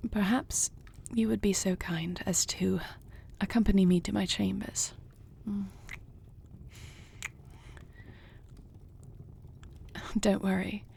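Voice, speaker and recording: A woman playing an affectionate, dutiful queen in an intimate fantasy audio roleplay, speaking softly and formally with measured, murmuring diction. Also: medium pitch, vocal fry, slight background noise. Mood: flirtatious, tender. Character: affectionate, dutiful dragon queen, newly wed to a princess.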